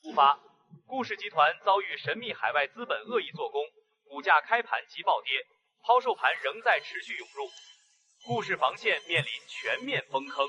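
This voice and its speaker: An actor playing a financial newsreader in an audio drama, delivering a formal and urgent bulletin. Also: male voice, sits high, big pitch swings, moderate pace, sharp tone, full character voice. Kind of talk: scripted news bulletin in a drama.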